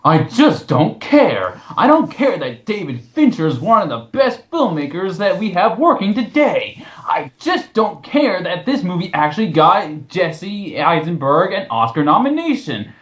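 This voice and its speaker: A man's voice: high-pitched, very animated, a little slow, fairly bright tone, reverberant, voice pushed up, exaggerated voice. A man voicing a whiny, petulant male film critic in an overdramatic mocking reading. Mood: whiny indifference. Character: whiny, exaggeratedly indifferent male film critic being mocked.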